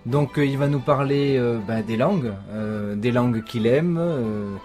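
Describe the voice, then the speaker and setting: A man co-hosting a radio show, introducing the program haltingly with many fillers. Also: medium pitch, a little mellow, faint music behind.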